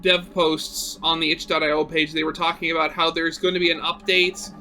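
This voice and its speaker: A man's voice: on the high side, little pitch movement, brisk, pushed and bright, a little hiss or hum. A man narrating a visual novel aloud as a gaming host, switching between storybook-like reading and offhand, sarcastic commentary.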